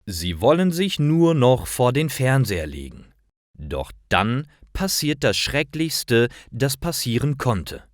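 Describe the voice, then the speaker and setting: A man narrating simple scripted stories for language learners, reading aloud in a deliberate, carefully articulated way. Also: medium pitch, sing-song, dry recording, studio quality.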